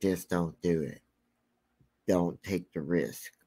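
A man with a deep, scratchy voice hosting a true crime channel, talking casually and rambling with many fillers. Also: takes it slowly, mellow.

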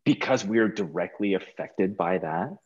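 A middle-aged American man chatting casually as an interview guest over a video call, informal and halting, often repeating words. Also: sits low, animated, slightly rough, lo-fi sound. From California, United States. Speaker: guest, stage performer. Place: small plain room indoors, video call.

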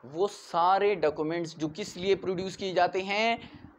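A man's voice: high-pitched, steady tone, moderate pace. A man in his twenties with a Pakistani accent giving an explanatory law lecture in a didactic, repetitive way. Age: 20s. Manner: didactic, repeats key points, checks with "right" and "okay". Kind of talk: explanatory classroom-style lecture. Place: small indoor room in front of a whiteboard. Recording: clearly noisy amateur room recording.

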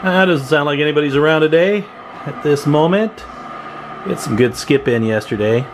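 A man giving a casual, informal hands-on demonstration as a hobbyist, unhurried, recorded on a handheld camera in a room at home. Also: a little high, background noise. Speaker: CB radio hobbyist showing his equipment. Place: indoor room at home with radio gear. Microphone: handheld camera microphone, speaker off screen.

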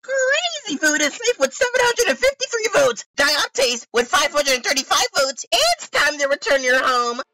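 A woman's voice: high voice, sing-song, moderate pace, hardly any pauses, pushed and bright, no room sound, exaggerated voice, rough recording. A woman voicing a manic, chirpy cartoon game-show creature who is gleefully cruel, with sing-song theatrical announcing. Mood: cheerfully cruel. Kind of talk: animated contest show hosting.

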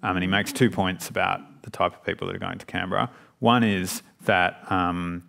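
A man's voice: sits low, creaky, a bit bright. A man speaking as a panel guest on an auditorium stage, hesitant with many restarts. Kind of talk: panel discussion.

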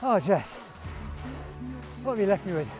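A British man in his forties talks between gasps while hauling himself up a steep ski slope, his voice sitting a little high. The sound comes from a camera held at arm's length outdoors, and clear music runs underneath the whole time. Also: very animated, moderate pace. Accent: British English. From Britain. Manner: breathless, exclaiming while climbing. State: out of breath. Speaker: presenter and runner. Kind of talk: casual running vlog. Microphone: action camera held at arm's length.

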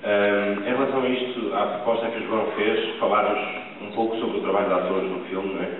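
Young male actor, deep voice, earnest, distant tinny theatre sound. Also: steady tone, quick pace. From Porto, Portugal. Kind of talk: talk to a theatre audience. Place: large theatre stage. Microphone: far camera, room sound. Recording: distant, echoey, tinny hall recording.

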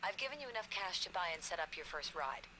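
An actress playing a businesslike agent who briefs an operative in a scripted video game voice-over. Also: female voice, fairly low pitch, sing-song, fast. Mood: brisk, instructive. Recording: clearly noisy game audio on stream.

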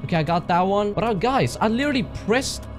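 A young man playing a horror game and commenting into a headset mic in a small room, jumpy and gasping at scares, his voice going hoarse from all the screaming. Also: high-pitched, animated, fast talker, soft background music. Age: young adult. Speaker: gaming streamer.

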